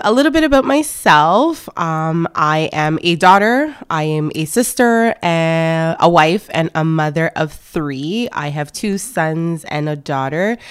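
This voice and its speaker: A woman in her thirties, a wedding planner, telling her story as a podcast guest in a relaxed way with many fillers. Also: low-pitched, clean tone. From born in France, raised in Canada. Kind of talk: casual interview talk.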